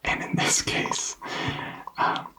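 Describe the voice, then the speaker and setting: A man whispering an ASMR tarot reading, explaining softly and reassuringly with hesitant pauses. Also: distant mic, noisy background. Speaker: tarot reader.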